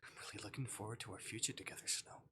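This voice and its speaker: A man voicing a polite, possessive male captor in a visual novel, soft and controlled. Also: lowish voice, racing, slight background noise. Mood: calmly possessive.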